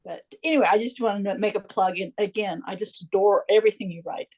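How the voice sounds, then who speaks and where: A woman chatting in a gushing, halting way as a reader on a book club video call. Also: mid-range voice, darkish tone. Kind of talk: casual chat.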